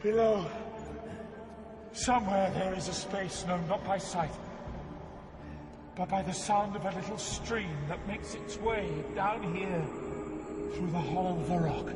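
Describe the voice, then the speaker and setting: Solemn underworld guide, high voice, declamatory, commanding, loud music behind, audio drama. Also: male voice. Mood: patient explaining. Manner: declamatory, urgent, deliberate. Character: Virgil, commanding, solemn male poet guide through Hell. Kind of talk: dramatized audio poem acting.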